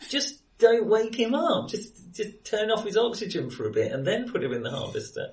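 A man talks about films in a casual, opinionated way, with a very lively, swooping delivery. He often stops to rephrase. The sound is roomy, with the microphone some way off. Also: sits high, moderate pace. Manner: hesitant, rephrases often, chuckles while talking. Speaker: podcast co-host reviewing films. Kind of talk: casual film discussion. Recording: roomy echo, distant microphone.

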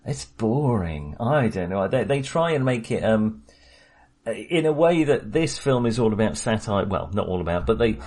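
Man, low voice, wry casual film chat, podcast co-host. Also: normal speed. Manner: chatty, wry, animated when making a point.

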